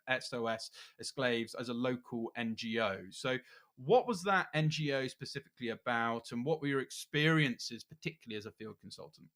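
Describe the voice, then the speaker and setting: A man's voice: medium pitch, fairly bright tone. Friendly male podcast host. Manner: friendly, encouraging. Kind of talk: interview questions.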